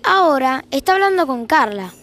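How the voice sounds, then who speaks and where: A woman's voice: sits high, very animated, normal speed, a bit bright. An Argentine woman playing the wry, conversational narrator of a fiction podcast about a married couple's squabbles.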